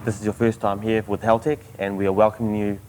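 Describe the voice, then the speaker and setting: A man with a New Zealand accent, an event organizer, answering interview questions in an earnest, halting way with many fillers. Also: lowish voice, little pitch movement, a little mellow, a little hiss or hum, rough recording. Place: outdoors at a motorsport event.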